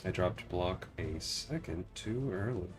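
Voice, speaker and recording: A man's voice: low voice, lively intonation, unhurried, soft background music. A man livestreaming a video game, chatting casually and thinking aloud as he reasons with viewers. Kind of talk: casual livestream gameplay chat.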